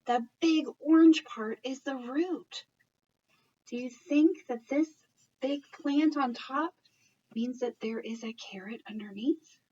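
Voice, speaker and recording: High-voiced woman reading to young children. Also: slow, slightly rough, far from the mic, muffled recording. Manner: expressive, encouraging, questioning, addressing children and parents. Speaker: early childhood educator reading stories. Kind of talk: children's picture-book read-aloud with commentary.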